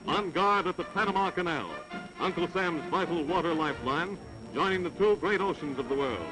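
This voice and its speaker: A male newsreel announcer from the United States, his voice pitched somewhat high and driven with stirring emphasis. He reads a patriotic script in vintage style. Loud music runs under him throughout the old recording. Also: brisk, poor audio. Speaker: newsreel narrator.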